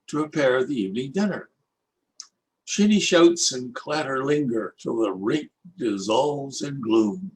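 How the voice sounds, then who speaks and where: Older man slowly reciting his poems. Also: medium pitch, a little hiss or hum, low-quality recording. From Canada. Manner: lilting, expressive recitation with rhythmic rhyme. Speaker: poet reading his own work. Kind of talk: slow, measured poetry reading.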